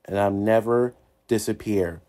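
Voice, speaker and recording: A man's voice: on the low side, unhurried, dark tone. A man talks to his viewers as an amateur voice performer. He gives plain intros and sign-offs for a homemade video.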